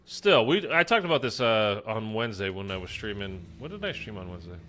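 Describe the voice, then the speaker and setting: A man's voice: on the low side, very animated, fairly quick, sharp tone. A man casually chatting through a zombies game session, rambling with lots of fillers and sounding stuffy and congested. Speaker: gaming streamer. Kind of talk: casual gameplay commentary.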